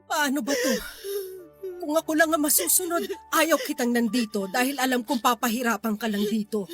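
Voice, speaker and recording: Older, high-pitched worried mother. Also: fairly quick. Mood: helpless, plaintive. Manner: motherly, plaintive, gently scolding. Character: worried, kindly but powerless elderly mother figure. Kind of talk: radio drama acting. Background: music.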